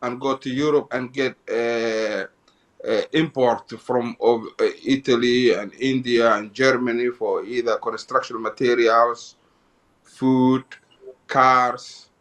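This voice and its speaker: Halting man. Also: mid-range voice, fairly even intonation, fairly bright tone. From Somalia. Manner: halting, repeats and restarts phrases. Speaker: guest. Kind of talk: interview, telling his life story.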